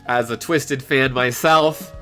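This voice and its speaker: A man chatting casually on a live stream in an animated, hesitant way, reading out and answering viewer comments. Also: mid-range voice, slow. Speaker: livestreamer and amateur voice actor. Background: piano background music.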